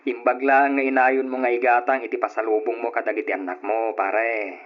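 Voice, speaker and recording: A kindly, easygoing workmate played by a man in a radio drama. He talks chummily in a medium-pitched voice on a dull, muffled recording. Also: level tone, fairly quick, natural voice. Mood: friendly. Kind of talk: old-style radio drama acting.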